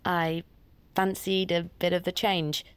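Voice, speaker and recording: A woman's voice: fairly low pitch, little pitch movement, slow, clear, resonant tone. Hesitant young British woman. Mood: awkward, guarded. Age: young adult. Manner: hesitant, guarded, clipped. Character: nervous, awkward young woman visiting her parents.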